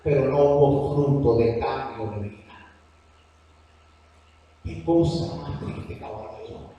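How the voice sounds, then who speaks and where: A man with a Cuban accent preaching a sermon to a church class, earnest and slow on his emphatic phrases. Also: medium pitch, lo-fi sound, slight background noise. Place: church hall. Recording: echoey hall from far off.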